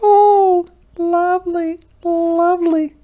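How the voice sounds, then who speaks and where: A tiny storybook mother mouse. The voice is extremely high and squeaky, fussing like an anxious, grateful parent. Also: level tone, slow pace, warm tone. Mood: delighted.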